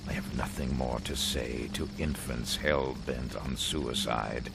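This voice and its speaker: Brief: a scornful villain overlord, very low and gravelly, over loud score. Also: male voice, steady tone, takes it slowly, exaggerated voice. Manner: contemptuous, lecturing. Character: imperious older male mutant overlord. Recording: music score loud behind.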